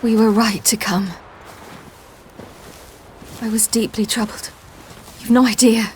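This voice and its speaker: A fairly high-voiced young warrior woman from a video game. She is fierce, loyal and resolute, speaking bluntly over faint ambient noise. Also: fairly even intonation, slow pace. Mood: relieved.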